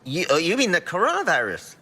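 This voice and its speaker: A woman's voice: on the low side, wildly swooping pitch, fast talker, sharp tone, slightly rough, voice pushed down, heavily acted. A woman voicing a bashful, bewildered man in his twenties with a hesitant, stammering delivery in a story reading. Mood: surprised, flustered.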